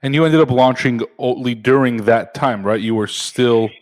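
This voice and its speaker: A man's voice: medium pitch, moderate pace, a little mellow. A man hosting an interview podcast, asking friendly, conversational questions. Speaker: podcast host.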